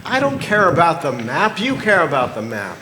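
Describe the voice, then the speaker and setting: A male actor plays a stubborn farmer who likes his simple life and stands his ground as a boyfriend. His voice sits fairly high and moves around a lot. It is a live musical reading with room echo and faint music. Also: wildly swooping pitch, moderate pace, sharp tone, a little hiss or hum, heavily acted. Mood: frustrated. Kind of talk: stage musical staged reading. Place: live performance venue, picked up in the room.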